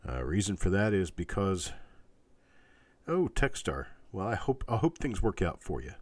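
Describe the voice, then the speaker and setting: A man hosting a casual live online auction stream, chatting in a rambling, halting, folksy way with a scratchy, stuffed-up voice weakened by illness. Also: low voice, sing-song, no echo. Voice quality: congested, stuffed-up nose. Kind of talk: casual livestream chat with viewers.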